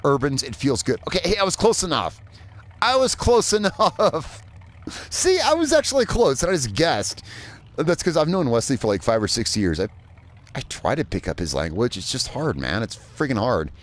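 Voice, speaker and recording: A man's voice: medium pitch, big pitch swings, normal speed. A man from Indiana, streaming a game and chatting loosely, rambling and swearing as he plays. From Indiana, USA. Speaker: gaming streamer. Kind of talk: casual live gameplay commentary.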